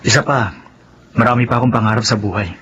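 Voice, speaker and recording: A man's voice: mid-range voice, quick pace, fairly bright tone, lightly acted. A loyal young employee, voiced by a man, answers his boss with humble hesitation. The recording is a little dull, with a faint hiss behind him. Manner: deferential and hesitant, full of "eh" and polite "po". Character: loyal, humble male employee, eager and polite. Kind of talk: radio drama acting.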